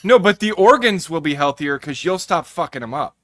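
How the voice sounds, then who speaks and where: A man's voice: a little high, animated, a little fast, fairly bright tone, dry recording, studio quality. A man co-hosting a casual podcast, tossing in wry comebacks. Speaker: podcast co-host.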